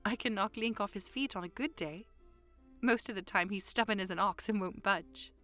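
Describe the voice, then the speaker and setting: A woman's voice: medium pitch, moderate pace, fairly bright tone, soft background music, natural voice. A woman playing a polite, playful young princess in an audiodrama. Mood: playful teasing. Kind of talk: audiodrama acting.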